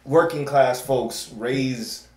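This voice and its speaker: Male co-host, measured and reflective, average pitch, echoey room. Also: darkish tone. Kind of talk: casual discussion. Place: small room, yellow wall.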